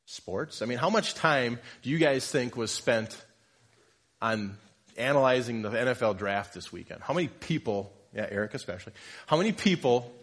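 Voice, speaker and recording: A man with a slight cold giving an informal, conversational sermon in church, joking with the congregation. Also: mid-range voice. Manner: conversational, jokes with congregation, self-deprecating. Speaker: lay preacher.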